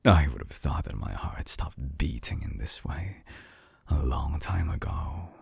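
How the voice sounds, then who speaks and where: A man playing an obsessive, coolly clinical mad scientist in an intimate binaural audio roleplay, speaking slowly in a hushed, breathy near-whisper with long pauses. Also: extremely low pitch, animated, no echo, exaggerated voice. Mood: smitten, musing. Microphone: close binaural microphone.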